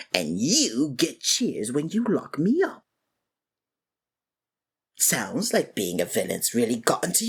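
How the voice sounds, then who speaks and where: A woman playing a sardonic, theatrical supervillain who monologues and taunts in a scripted ASMR roleplay, with a breathy voice. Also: mid-range voice, full character voice. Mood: exasperated.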